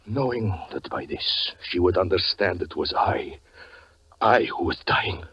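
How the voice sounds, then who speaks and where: An actor playing a tormented, fervent stage magician and husband in 1940s radio suspense acting, melodramatic, swinging between hushed narration and anguished cries. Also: male voice, low-pitched, expressive, unhurried, slightly rough, exaggerated voice. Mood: haunted, grim. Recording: muffled vintage 1940s radio recording.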